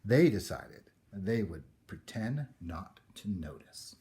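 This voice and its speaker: A man reading a children's picture book aloud in a theatrical way, with dramatic pauses. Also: fairly low pitch, big pitch swings, warm tone. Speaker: story reader.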